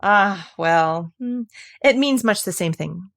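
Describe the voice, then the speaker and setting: A woman's voice: medium pitch, expressive, slow pace, a bit bright. A woman voicing an ugly, pushy, overly agreeable older Duchess in a storybook, with a pompous, emphatic, singsong way of moralizing. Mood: gushing, moralizing.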